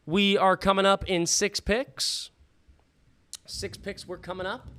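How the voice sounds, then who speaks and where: A man hosting a live fantasy football stream in a casual, slangy, sweary way, thinking out loud, his voice starting to give out. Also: on the high side. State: losing his voice. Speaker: livestream host. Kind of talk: casual live fantasy football draft commentary with chat shout-outs.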